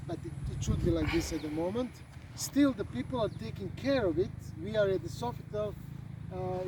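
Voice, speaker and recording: A man speaking English, talking casually about his travels and pausing often on fillers and repeated words. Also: high voice, noisy background. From Croatia. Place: outdoors at a golf resort.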